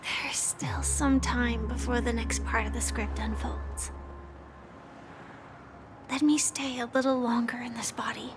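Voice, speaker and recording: Game heroine, played by a woman: high, slow, breathy and gentle, resigned to fate, clear music underneath. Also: fairly even intonation, natural voice. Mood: wistful, soft. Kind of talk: video game voice acting.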